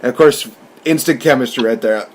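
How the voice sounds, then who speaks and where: A man's voice: mid-range voice, fairly quick, background noise. Man casually recapping a movie. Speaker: podcast host and film reviewer. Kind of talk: casual movie review discussion.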